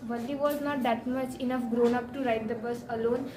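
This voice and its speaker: A woman's voice: fairly high pitch, fairly even intonation, a little fast, darkish tone. A teenage female student with an Indian accent explaining her answer in class. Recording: distant mic in echoey, murmuring classroom.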